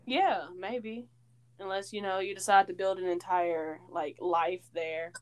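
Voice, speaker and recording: A young woman from Tennessee co-hosts a casual fan podcast, teasing and giggling as she talks. Her voice sits at an ordinary mid pitch. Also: steady tone, a little slow, a little hiss or hum. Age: 20s. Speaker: podcast co-host. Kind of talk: casual chat.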